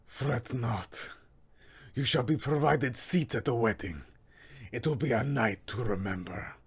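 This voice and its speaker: A man's voice: mid-range voice, a little slow. A man voicing an arrogant, menacing vampire lord with formal, theatrical villain speech for a tabletop game. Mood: quietly possessive. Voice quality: husky, gravelly, sometimes whispered.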